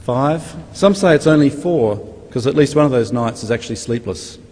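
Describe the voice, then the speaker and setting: A man's voice: medium pitch, animated, moderate pace, a little mellow. A man speaks as master of ceremonies, giving formal thanks and announcements at an event. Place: large university hall. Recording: reverberant hall, faint ambient noise.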